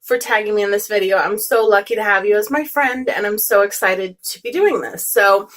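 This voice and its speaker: A woman's voice: medium pitch, fairly quick, a bit bright. A young American woman with no regional accent, chatting casually to camera in a vlog, rambling with lots of ums and restarts. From United States. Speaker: beauty vlogger.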